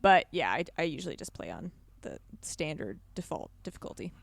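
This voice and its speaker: A woman's voice: sits low, very animated, brisk, vocal fry, dry recording. A woman guesting on a podcast, chatting casually in an informal, emphatic way.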